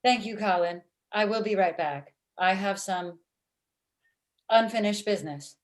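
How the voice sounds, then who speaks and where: A woman playing a blunt female matchmaking robot with formal, deadpan phrasing in a comedy table read over video call. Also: medium pitch, a little slow, close to an everyday voice. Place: indoor room at home.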